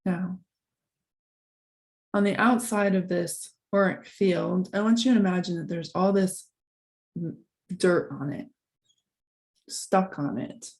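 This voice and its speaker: A woman leading a guided meditation, giving soft instructions in a calm, gentle, unhurried manner with long pauses. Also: fairly low pitch.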